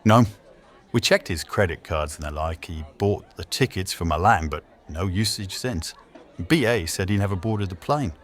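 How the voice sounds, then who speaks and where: A man's voice: low-pitched, lively intonation, normal speed. An actor playing a dutiful, dryly sardonic police detective in an audio drama, terse in his delivery. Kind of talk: naturalistic audio drama dialogue.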